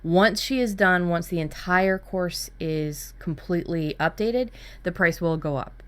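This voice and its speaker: A woman's voice: on the low side, unhurried. A woman co-hosting a workshop in casual, informal chat with a blunt way of talking. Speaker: workshop co-host.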